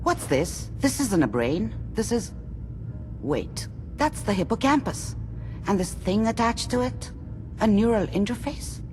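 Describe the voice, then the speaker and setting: An actress playing a stern, exacting scientist doctor in a video game, brisk, formal and precise. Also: female voice, mid-range voice, big pitch swings, noisy background. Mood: reluctant, curious. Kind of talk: video game character acting.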